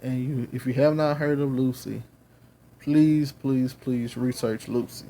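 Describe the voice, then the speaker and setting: A man's voice: mid-range voice, fairly even intonation, unhurried, dark tone, a little hiss or hum. A man with a Southern accent giving a Bible lesson at home, earnest and rambling with many fillers. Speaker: Bible teacher. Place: home with children and babies around.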